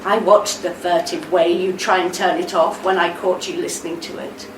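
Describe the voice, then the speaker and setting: An actress playing a gentle but insistent wife, tender and sorrowful, in a radio play. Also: female voice, fairly low pitch, level tone, natural voice. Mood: tender, pained. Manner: gentle, coaxing, deliberate. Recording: echoey room, distant mic, background noise.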